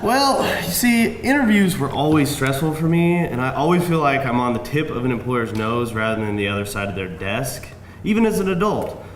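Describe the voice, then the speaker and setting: Male interviewer, acted by a man: charming, chatty, formally polite, very animated pitch, echoey live reading. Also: normal speed, a crowd in the background, close to an everyday voice. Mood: assured. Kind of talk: live screenplay table read. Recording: echoey live room, faint noise.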